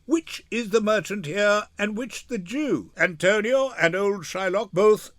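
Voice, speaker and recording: Older man playing stately, measured duke in court. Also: high voice, fairly bright tone, dry recording, clean studio sound. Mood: formal, gracious. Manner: formal, authoritative, measured. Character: stately male duke presiding over a court. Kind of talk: dramatic Shakespeare reading.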